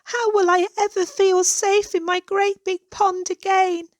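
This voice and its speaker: A woman's voice: very high voice, little pitch movement, unhurried, no echo, studio quality, pitched above the performer's own voice. A woman voicing a small, sensitive but plucky mother goose in a children's storybook, with emphatic, emotional delivery. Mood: sobbing, distressed.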